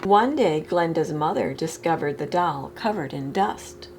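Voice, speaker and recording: A woman reading a children's picture book aloud expressively, with deliberate phrasing, as a storytime reader. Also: low-pitched, animated, darkish tone, slight background noise.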